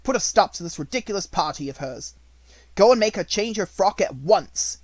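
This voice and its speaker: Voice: mid-range voice, big pitch swings, unhurried, no echo, voice pushed up. A reader voicing a stern headmistress who snaps out clipped, scornful orders. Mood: fierce. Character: stern, cold headmistress of a girls' school.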